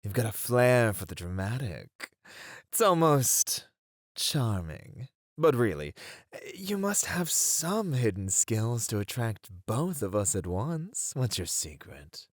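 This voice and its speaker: A performer voicing a flirty, playfully mocking demon in an ASMR roleplay, with a drawn-out, purring delivery. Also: medium pitch, sing-song, no room sound, clean studio sound. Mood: flirty, coaxing.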